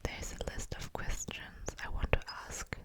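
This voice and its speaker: A woman whispering breathily and softly in an ASMR style, in a hushed manner that lingers on and repeats words. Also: unhurried. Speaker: ASMR creator. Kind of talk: whispered ASMR, casual rambling and poem reading.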